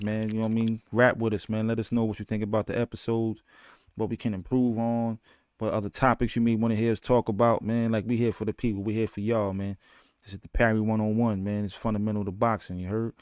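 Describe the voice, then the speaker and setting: A laid-back man, co-hosting a boxing podcast. His voice is smooth and resonant, set in a dry, close recording with no room sound. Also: lowish voice, flat delivery, quick pace, no echo. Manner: laid-back, repeats "you know what I'm saying". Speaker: podcast co-host. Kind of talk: casual boxing podcast chat.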